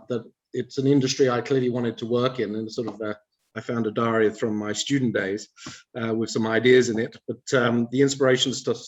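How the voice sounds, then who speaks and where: A man answering as a panel guest in a measured way, with frequent pauses and fillers, over a video call. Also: medium pitch.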